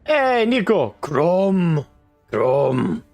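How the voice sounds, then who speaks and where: Twenty-something male streamer, loose and jokey with chat, dry close voice, soft game music underneath. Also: fairly high pitch, sing-song, very slow, dry recording. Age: 20s. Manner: rambling, self-deprecating, laughs often. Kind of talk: casual live-stream chat, reading chat.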